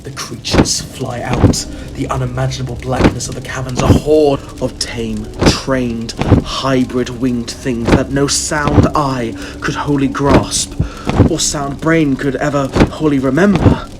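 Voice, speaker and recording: An actor playing a terrified narrator in a gothic horror audio drama, speaking haltingly and stammering with dread. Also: male voice, mid-range voice, steady tone, loud music behind the voice, close to an everyday voice, low-quality recording. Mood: hushed dread. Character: terrified male narrator summoned to an ancient family rite.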